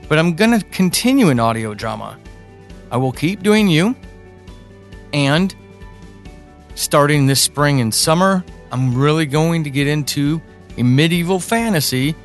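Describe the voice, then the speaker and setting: A man hosting a podcast, making a promotional closing announcement in emphatic, deliberately phrased speech. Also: medium pitch. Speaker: podcast creator and host.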